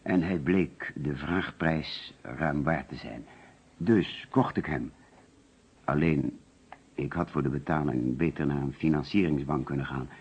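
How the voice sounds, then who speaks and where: Uneasy suspect, very deep husky voice. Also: male voice, unhurried, darkish tone, exaggerated voice. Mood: uneasy, explaining. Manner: hesitant, explanatory, defensive. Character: male murder suspect, uneasy, defensive insurance agent. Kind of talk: radio play acting. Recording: dull old radio recording.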